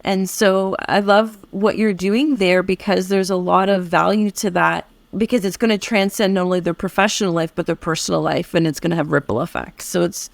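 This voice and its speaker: An American woman co-hosting a podcast, reflecting at length in a warm, affirming conversational way with many restarts. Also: on the low side, fairly even intonation, a little fast, creaky, few pauses, dry recording. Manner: reflective, affirming, speaks in long winding sentences with restarts. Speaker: podcast co-host.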